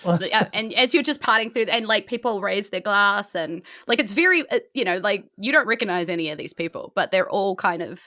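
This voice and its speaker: Bright New Zealand woman running a game. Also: mid-range voice, lively intonation, fairly quick, no room sound, clean studio sound. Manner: informal, conversational, amused. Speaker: game master. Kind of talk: improvised tabletop game narration.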